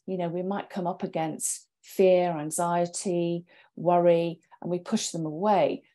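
A middle-aged woman explaining reflectively and earnestly, in deliberate phrases, on a video call through an earphone mic. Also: lowish voice, dark tone. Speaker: panelist. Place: indoor room with bookshelves, video call. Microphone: wired earphone mic.

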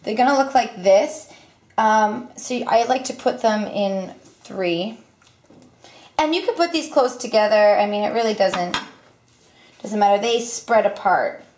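A young woman casually walking through a home recipe at her stove, talking with lots of ums and quick self-corrections. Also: medium pitch, animated, a little mellow, slight background noise. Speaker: cooking vlogger. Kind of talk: casual cooking demonstration. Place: home kitchen. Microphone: camera mic, filming over the stove. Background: clinking pots and utensils.

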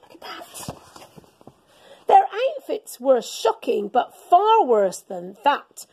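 A woman's voice: high-pitched, slow, husky. A middle-aged woman reading a children's story aloud as a teacher would, expressively and dramatically. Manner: animated, dramatic storytelling with gasps and whispers. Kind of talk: expressive picture-book read-aloud for children. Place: school classroom, indoors.

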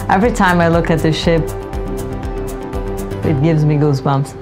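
A woman from Brazil, a senior project manager, speaking with measured enthusiasm in a documentary interview. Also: deep voice, music in the background.

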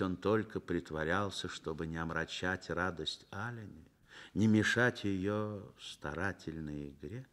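Man narrating with wide, expressive intonation. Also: mid-range voice. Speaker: narrator of a radio play. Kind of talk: scripted literary narration, Soviet radio drama.

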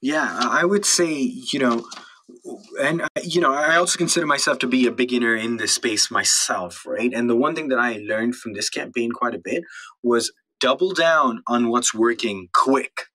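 A man's voice: mid-range voice, normal speed, bright, forceful tone, slightly rough, rough recording. A man, talking casually as a podcast guest and acting out his thoughts as quotes. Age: late 20s, turning thirty. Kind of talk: casual interview talk.

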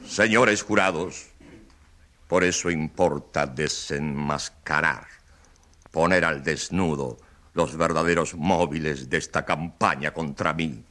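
An actor playing a pompous, evasive politician who defends himself with grandiose courtroom oratory in a radio drama. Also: male voice, rumbling low, takes it slowly, a bit bright, exaggerated voice. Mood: demagogic, righteous.

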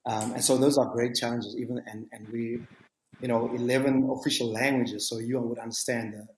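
A man in his late forties, a youth ministry leader, speaking on a video call panel in a relaxed, rambling way with lots of fillers. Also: mid-range voice, a little fast. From South Africa. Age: 40s. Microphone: video call webcam. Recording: echoey room with faint noise.

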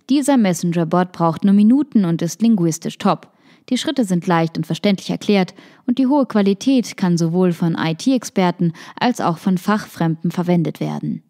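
Female narrator, calm article read-through, warm and soft tone. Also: on the low side. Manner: reads a written article. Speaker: podcast narrator. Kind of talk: scripted narration.

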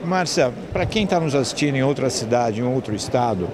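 A man's voice: mid-range voice, lively intonation, a little fast. A Brazilian man in his fifties interviewing a guest on location for television. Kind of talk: on-location trade show interview. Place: open stand in a large indoor exhibition hall. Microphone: handheld reporter microphone. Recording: noisy exhibition hall ambience. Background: clear hall noise.

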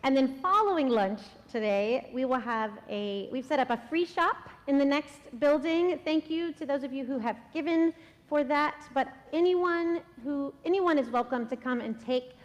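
A middle-aged woman pastor giving the welcome and announcements at a church service, speaking warmly and laughing between sentences. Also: sits high, moderate pace, highs cut off. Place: large church sanctuary. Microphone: stand microphone at a lectern. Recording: long church reverb.

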